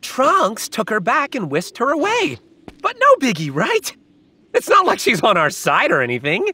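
Cheeky high-pitched young schemer. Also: female voice, huge pitch swings, normal speed, sharp tone, breathy edge, exaggerated voice. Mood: breezy. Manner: breezy, teasing, slangy. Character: cheeky, irreverent young schemer, flippant and excitable. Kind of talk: animated video game voice acting. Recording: music under the voice. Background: music.

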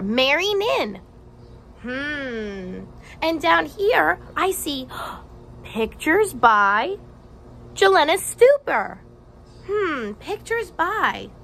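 A young woman teacher reading a picture book aloud to children outdoors, expressive and encouraging, with questions and exaggerated emphasis. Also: sits high. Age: young adult. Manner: expressive, asks questions and pauses for answers. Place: outdoors on a house porch. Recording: faint outdoor background noise.

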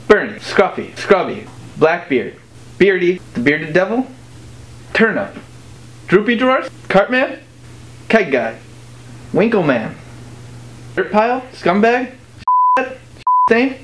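A man acts out an exasperated young guy in an amateur short film. His voice sits a little high, and he talks in sarcastic slang. There is some faint noise in the recording. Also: sing-song, slow, long pauses, a bit bright, exaggerated voice, rough recording. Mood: guessing.

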